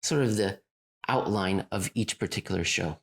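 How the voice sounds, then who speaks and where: A man's voice: fairly low pitch, normal speed. Man hosting with formal introductions. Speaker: podcast host. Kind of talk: hosting an interview.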